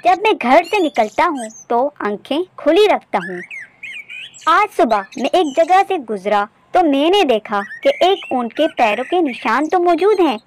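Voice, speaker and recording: A woman voicing a wise, observant male beggar from a children's story, explaining calmly, politely and patiently. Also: high voice, a little slow, a little hiss or hum.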